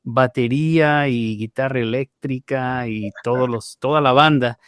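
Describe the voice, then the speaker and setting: A man's voice: medium pitch, measured pace, fairly bright tone, no room sound, clean studio sound. A man from Mexico, a pastor telling his conversion story in a podcast interview, speaking with frequent "eh" fillers and restarts. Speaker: pastor, guest.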